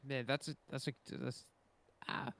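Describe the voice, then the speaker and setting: A man co-hosting a podcast in casual chat, hesitant and thinking out loud as he talks. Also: medium pitch, flat delivery, unhurried, dry recording. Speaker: podcast co-host.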